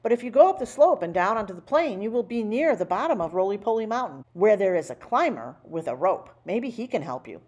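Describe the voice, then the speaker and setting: Woman voicing helpful girl, lively pitch. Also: brisk, few pauses. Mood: apologetic, helpful. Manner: eager, emphatic. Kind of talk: storybook character voice.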